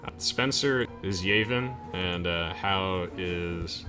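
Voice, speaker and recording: A man's voice: deep voice, slow, over music. A young man giving casual, chatty, enthusiastic game commentary as host. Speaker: host of a let's play.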